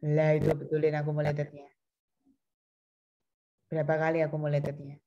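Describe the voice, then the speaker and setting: A woman's voice: low voice, monotone, moderate pace, warm tone, lo-fi sound. A woman teacher leading an online lesson, prompting students with questions. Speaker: accounting teacher. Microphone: video call.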